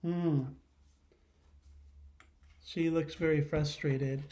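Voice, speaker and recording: Slow, high-pitched man reading to children. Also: a little hiss or hum. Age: middle-aged. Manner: expressive storyteller, pausing to ask listeners questions. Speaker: teacher. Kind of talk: read-aloud of a children's picture book. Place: living room at home.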